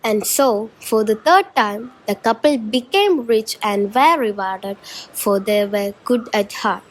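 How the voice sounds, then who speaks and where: A woman's voice: a little high, slow pace. A woman reading scripted storybook narration for a shadow puppet show. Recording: noisy amateur recording.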